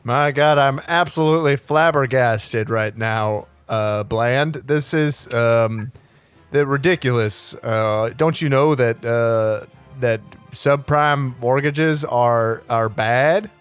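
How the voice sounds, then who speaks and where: A man playing a stern father in a comic screenplay reading, halting and deadpan. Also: medium pitch, clean tone, faint music behind, natural voice. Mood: halting disbelief. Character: bumbling, flatly read stern middle-aged father in screenplay parody.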